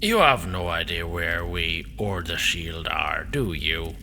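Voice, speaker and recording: An actor playing an ancient warrior in a fantasy audio drama, stern, weary and condescending to the young, with deliberate archaic phrasing. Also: male voice, low voice, animated, gravelly, pushed and bright, slight background noise, exaggerated voice. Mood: weary reproach.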